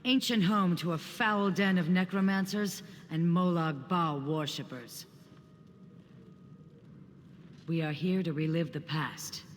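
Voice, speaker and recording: Male actor, courteous fantasy game scholar, higher-pitched man, formal theatrical phrasing, long hall reverb. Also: a little slow, a little hiss or hum, natural voice. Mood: solemn. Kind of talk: video game character dialogue.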